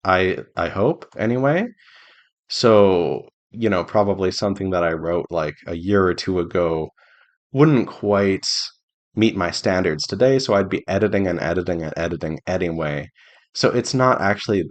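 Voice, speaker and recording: Low-voiced man giving a casual devlog. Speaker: indie visual novel developer giving a devlog. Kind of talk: casual unscripted devlog update.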